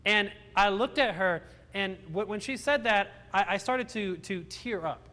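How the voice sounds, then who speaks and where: A man's voice: high voice, a little slow, pushed and bright, long reverb tail. A young man born in Romania, telling his life story with self-deprecating humor to a live audience, often restarting his sentences. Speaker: motivational speaker. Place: large hall with audience.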